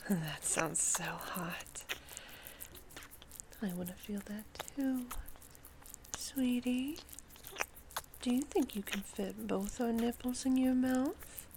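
A woman in sexually explicit adult ASMR audio, moving between breathy whispers and a soft, cooing, soothing voice. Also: a little high, slow pace, pauses often, background noise.

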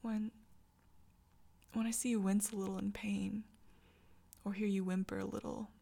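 A woman voicing an intense, tender young girlfriend in a whispered audio roleplay. She speaks in a soft, close whisper and stammers when she gets flustered. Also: mid-range voice, level tone, normal speed, gravelly. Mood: tender confession.

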